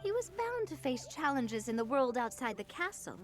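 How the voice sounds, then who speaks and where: A woman voicing a matter-of-fact storybook creature in a video game, expressive and animated. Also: sits high, normal speed. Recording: music behind.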